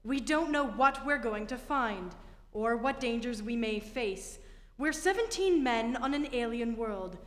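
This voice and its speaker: A woman's voice: on the high side, expressive, measured pace, fairly bright tone. A woman playing a stern, commanding naval spaceship captain who gives clipped orders in old-time radio drama style. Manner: authoritative, clipped military orders. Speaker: actor in a radio play. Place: theatre stage, live performance. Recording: echoey theatre hall.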